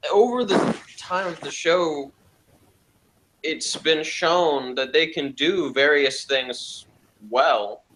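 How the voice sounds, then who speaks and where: Slow-talking, blunt man. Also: fairly high pitch, fairly bright tone, low-quality recording. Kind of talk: casual opinionated discussion.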